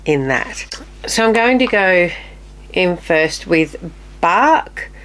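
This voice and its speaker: A woman in her fifties, still a little nasal, chatting casually through a makeup tutorial with quiet murmured asides. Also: sits low. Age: 50s. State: slightly nasal, recovering from illness. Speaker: beauty vlogger.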